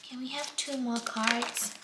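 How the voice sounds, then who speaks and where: Slow, soft-spoken woman. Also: fairly high pitch, steady tone. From Singapore. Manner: gentle, unhurried, many fillers, drops to a near whisper while drawing cards. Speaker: tarot reader. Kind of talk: casual pick-a-card tarot reading. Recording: echoey room, faint background noise.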